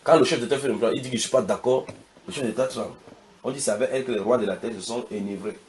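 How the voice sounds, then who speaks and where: A man hosting a religious talk, speaking fast and emphatically as he questions his guest and repeats his words. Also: mid-range voice, a little mellow, slight background noise. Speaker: host, preacher.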